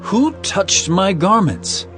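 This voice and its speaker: A man plays a calm, commanding healer in a Bible audio drama. He speaks deliberately with wide, expressive pitch movement, and music plays clearly underneath. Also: heavily acted. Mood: questioning.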